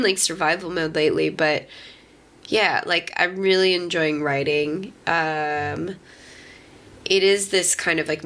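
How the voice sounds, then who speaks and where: A woman in her mid-thirties chatting casually as a podcast host, musing in a halting way and often saying "like". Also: sits low, fairly bright tone. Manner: halting, filler-heavy musing with frequent "like". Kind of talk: casual conversation.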